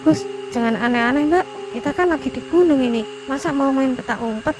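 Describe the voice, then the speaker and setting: A woman's voice: sits high, fairly quick, music in the background, lightly acted. A woman playing a friendly, polite and chatty young female hiker in a cartoon. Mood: casual. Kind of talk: cartoon dialogue acting.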